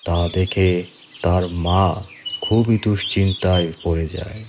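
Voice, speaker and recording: A man's voice: sits low, steady tone, slow, noisy background. A man narrating a scripted horror story for a cartoon.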